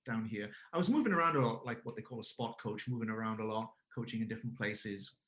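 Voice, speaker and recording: A man's voice: on the low side, fast, fairly bright tone. A man in his forties from Wales, a guest telling his career story casually, with frequent restarts and self-corrections. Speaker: guest, English school owner.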